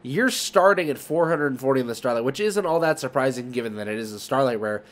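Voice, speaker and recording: A man's voice: mid-range voice, expressive, quick pace. A man hosting a trading card market video, chatting informally to viewers with emphasis on key words. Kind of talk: casual commentary to viewers.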